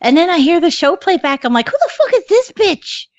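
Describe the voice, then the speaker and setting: A woman with a hoarse, squeaky voice pitched well above the usual, chatting loosely on a podcast. She laughs easily and swears without a second thought. The audio is dull and lo-fi, with no room sound. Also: big pitch swings, brisk, darkish tone, no room sound. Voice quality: squeaky, high rasp. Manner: laughs often, swears casually. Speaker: podcast co-host. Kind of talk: casual chat.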